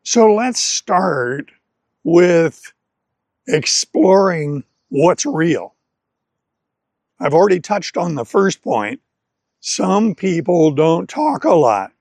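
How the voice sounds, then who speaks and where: Slow, higher-pitched man giving a lesson. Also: very animated, darkish tone, no room sound. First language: English. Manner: deliberate, carefully phrased in short clear segments. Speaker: language-learning presenter. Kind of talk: scripted educational talk to camera.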